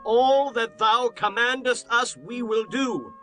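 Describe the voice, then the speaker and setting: An actor playing a fervently loyal Israelite follower, declaiming emphatically in a dramatized scripture reading. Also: male voice, very high voice, lively intonation, slow pace, fairly bright tone, soft background music, exaggerated voice.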